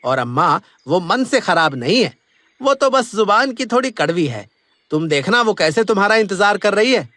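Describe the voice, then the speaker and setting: A man's voice: high-pitched, big pitch swings, normal speed, sharp tone, dry recording, exaggerated voice. A man playing a two-faced, wheedling grown son in a Hindi cartoon story, with exaggerated pleading delivery.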